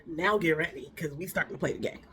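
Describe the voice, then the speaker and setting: A woman's voice: low voice, fast, a bit bright. A young woman with a nasal, stuffy voice from a cold, chattily recapping a TV drama with lots of fillers and restarts. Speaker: reaction video host. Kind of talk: casual drama recap and review.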